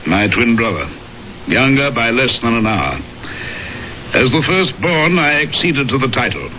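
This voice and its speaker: Nobleman, sharp forceful tone, grim, haughty, formal, vintage radio drama, faint hiss. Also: mid-range voice, very animated, measured pace. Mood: proud, bitter. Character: proud, grim English baronet, powerful and embittered. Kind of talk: 1970s radio drama acting. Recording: muffled vintage radio broadcast, faint noise.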